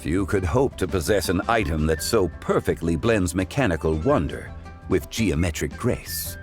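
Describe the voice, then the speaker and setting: An actor playing a calm, ancient male consultant who speaks in a formal, measured and courteous way, in a video game. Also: deep voice, faint music behind. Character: ancient, composed male consultant of refined taste.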